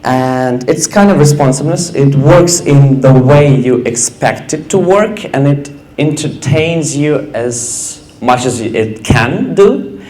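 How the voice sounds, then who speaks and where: Slow, halting man in a roomy hall. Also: medium pitch, animated, darkish tone. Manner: halting, casual, many fillers. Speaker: student presenter. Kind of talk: app demo talk. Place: event stage.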